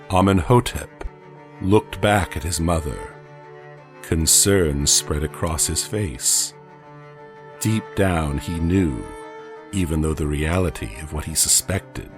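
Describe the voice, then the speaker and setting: Solemn angel narrator for an audio comic , played by a man: very low, unhurried, grave and portentous, music underneath. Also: full character voice. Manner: grave, portentous, theatrical, dramatic pauses. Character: solemn angel recounting ancient tragedy with grave sorrow. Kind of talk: dramatic scripted storytelling, audio comic book.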